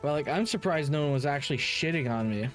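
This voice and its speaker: A man's voice: on the high side, animated, fast, faint music behind. A man doing casual live game stream commentary in a blunt, opinionated manner. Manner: blunt, opinionated, heavy swearing.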